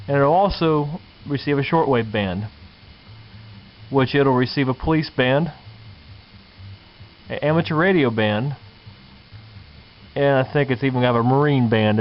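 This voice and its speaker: A man giving a casual, unscripted show-and-tell in a folksy, colloquial way, going through details step by step. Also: medium pitch. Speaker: vintage radio collector presenting a find. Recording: dull lo-fi camera sound, background noise.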